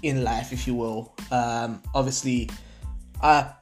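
A man's voice: mid-range voice, steady tone, takes it slowly, soft background music. Young man hosting casually. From Portugal. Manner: laid-back slangy banter, laughs often. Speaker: podcast host. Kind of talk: casual podcast chat.

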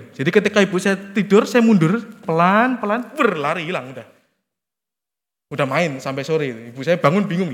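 Male preacher, Javanese-region Indonesian accent, fairly high congested voice with sore-throat wear, informal animated delivery, long hall reverb. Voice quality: nasal, congested. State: sore throat, voice somewhat worn. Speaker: preacher leading a church service. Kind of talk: conversational sermon with everyday examples. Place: church service, reverberant hall.

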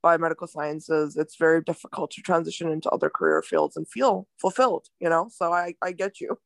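A woman hosting a podcast, lively and quick to react with exclamations. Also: sits low, dry recording. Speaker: podcast host. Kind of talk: casual podcast interview.

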